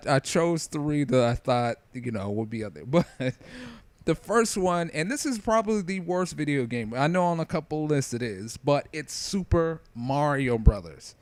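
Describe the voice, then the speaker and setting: A man's voice: medium pitch, smooth, dry recording. A man giving casual, opinionated review commentary in an informal, slangy, conversational way. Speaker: video creator giving commentary.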